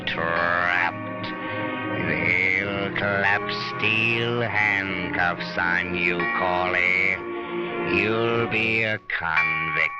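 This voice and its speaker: Gloating sinister phantom, taunting and drawn out, muffled 30s film sound, loud music behind. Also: male voice, low voice. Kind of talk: 1930s melodramatic film acting.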